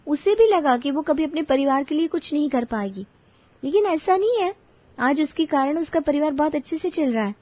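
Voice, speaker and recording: A woman voicing an outspoken adult friend in an animated story, talking in a frank, persuasive way. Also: high voice, moderate pace, no echo. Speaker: voice actor. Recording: dull, dry studio recording.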